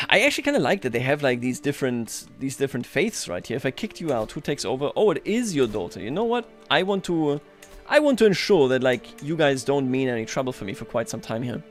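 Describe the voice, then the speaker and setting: A man's voice: medium pitch, sing-song, fairly quick, faint music behind. A man hosting a livestream, chatting casually and thinking aloud over a strategy game in a wry, playful way. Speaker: livestream host.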